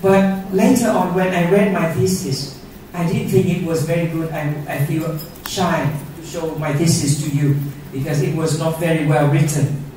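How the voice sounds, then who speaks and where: A man's voice: fairly high pitch, steady tone, moderate pace, a little mellow, a little hiss or hum. A middle-aged man whose first language is Vietnamese gives an earnest conference talk and keeps asking the audience questions with a tag of "yeah?". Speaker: conference speaker. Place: university auditorium. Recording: roomy auditorium sound from far off.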